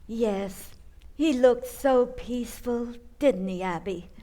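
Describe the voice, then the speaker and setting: A woman's voice: a little high, slow pace, mellow. A woman playing a kindly, dotty old aunt in an old-time radio comedy, cosy, innocent and fussily precise.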